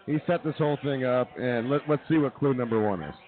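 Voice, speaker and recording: A man's voice: medium pitch, fairly quick, soft background music. A man hosting a live comedy radio show, mixing mock-formal announcing with casual banter. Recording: thin phone-like sound with audience noise.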